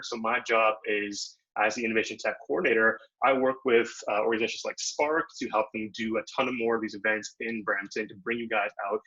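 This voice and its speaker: A man's voice: lowish voice, bright, forceful tone. A young man from Bangladesh giving a casual, upbeat online workshop talk over a video call, full of slang and fillers. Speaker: workshop presenter. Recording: dull lo-fi video call audio.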